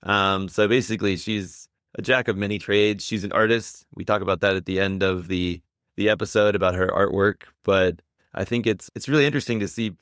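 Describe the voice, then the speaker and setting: A man's voice: fairly low pitch, steady tone, a little fast, fairly bright tone, no echo, clean studio sound. A man hosting a podcast in a casual, conversational style. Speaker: podcast host.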